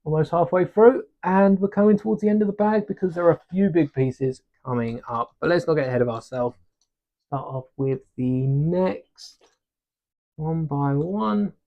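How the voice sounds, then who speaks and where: A man's voice: a little high, normal speed, phone-quality sound. Chatty man thinking aloud while building. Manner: relaxed, chatty, self-deprecating, thinks aloud. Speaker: livestream host building a brick set. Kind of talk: casual live-stream chat. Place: home desk during a livestream. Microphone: desk microphone.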